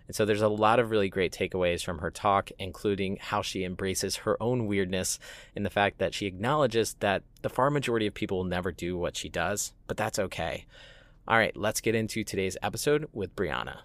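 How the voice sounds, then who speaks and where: A man's voice: deep voice, brisk, a bit bright, no room sound. A man hosting a podcast, giving a friendly, admiring introduction to a guest's talk. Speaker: podcast host. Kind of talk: episode introduction.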